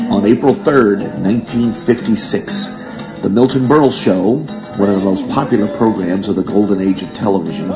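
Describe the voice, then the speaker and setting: A man with a fairly low voice presents as a radio host, reading from a script. Music plays clearly behind his narration. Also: poor audio.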